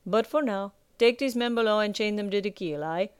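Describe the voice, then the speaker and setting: A woman voicing a gruff male sea captain who talks in rough sailor speech and keeps tagging his lines with "aye.". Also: mid-range voice, brisk, dry recording, natural voice. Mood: commanding.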